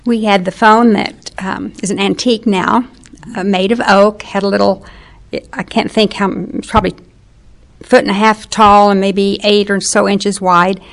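A woman's voice: medium pitch, level tone, moderate pace. An older woman from western Oklahoma reminiscing in an interview, chatty and sometimes searching for words.

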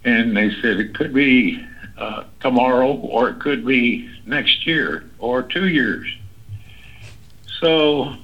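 A man, recalling his past in an oral history interview, talking haltingly with many fillers and restarts. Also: medium pitch, dull audio. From Kansas City, United States. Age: 80s. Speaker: interviewee, Navy veteran. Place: library interview room.

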